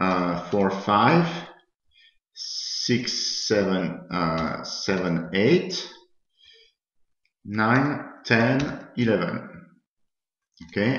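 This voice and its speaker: A man's low, halting voice in an online university tutorial, explaining steps from his desk in an echoey room. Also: fairly bright tone. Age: middle-aged. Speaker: university lecturer. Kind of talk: software tutorial lecture, explaining as he types. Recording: roomy, dull webcam sound.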